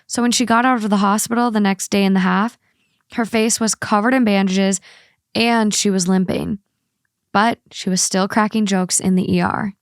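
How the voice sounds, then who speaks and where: A woman's voice: mid-range voice, steady tone, moderate pace, no echo, clean studio sound. A woman hosting a podcast, chatting casually and reading stories aloud. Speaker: podcast host. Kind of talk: casual co-host chat and reading a story aloud.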